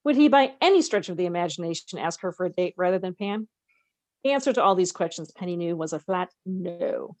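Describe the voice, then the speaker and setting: A woman's voice: lowish voice, lively intonation, brisk, a little mellow, dry recording. Woman reading aloud, close dry sound. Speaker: podcast co-host reading a novel aloud. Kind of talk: read-aloud narration with casual asides.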